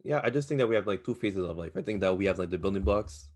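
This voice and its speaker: Casting: male podcast co-host in his twenties, deep voice, casual and opinionated, reflective advice-giver. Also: expressive, fast talker, a little mellow. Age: 20s. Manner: reflective advice-giving, slangy with some swearing.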